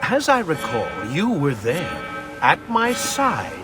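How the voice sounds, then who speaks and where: A man's voice: fairly high pitch, wildly swooping pitch, takes it slowly, full character voice. An actor voicing a smug, condescending male villain scientist in a game cutscene. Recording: loud music behind.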